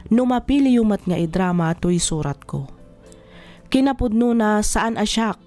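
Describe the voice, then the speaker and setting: A woman's voice: medium pitch, a little mellow, lightly acted. A woman playing an earnest letter sender, politely narrating a friend's life story for an Ilocano radio drama.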